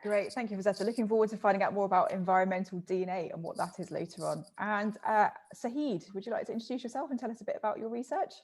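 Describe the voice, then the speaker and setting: A woman's voice: medium pitch, fast, hardly any pauses, a little mellow. A woman moderating an online panel, upbeat and welcoming as she introduces the speakers.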